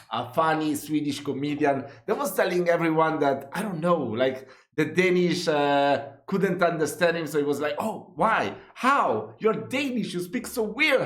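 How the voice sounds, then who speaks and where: A man talking casually in a reaction video, his pitch sitting a little high and swinging widely as he exclaims. His voice rings in a noticeably echoey room. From Italy. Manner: chatty, laughs often, exclamatory.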